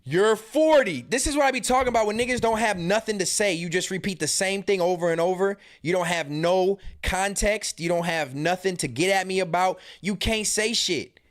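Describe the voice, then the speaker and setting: Male podcast host in his thirties, high voice, heated and taunting reaction commentary. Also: normal speed, hardly any pauses. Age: 30s. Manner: profane, mocking, confrontational.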